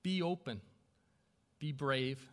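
Bright-voiced man chairing a council meeting. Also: mid-range voice. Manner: halting, self-deprecating, stumbling over names. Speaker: newly elected mayor chairing a council meeting. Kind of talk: formal meeting procedure with informal, joking asides. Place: council chamber with a small audience.

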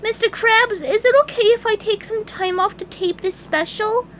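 A woman reading aloud and voicing an eager, cheerful young male sea sponge, squealing and excitable. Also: moderate pace, slight background noise. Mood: excited. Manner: squealing, excitable, high-pitched.

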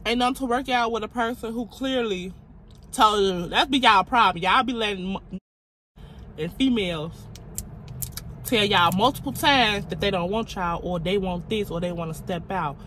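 A blunt, chatty young woman talks straight to her phone camera from a parked car. Her voice is bright and forward, with a faint hum of car ambience behind her. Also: medium pitch, moderate pace, poor audio. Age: 20s. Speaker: vlogger. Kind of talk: casual talk to camera. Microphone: phone camera on dashboard.

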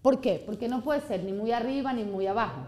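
A woman's voice: on the high side, fairly quick. A woman in her thirties, an orthodontist, giving a live seminar to a small room and often checking in with "¿ok?". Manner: animated, gestures a lot, checks in with "¿ok?". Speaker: orthodontist lecturer.

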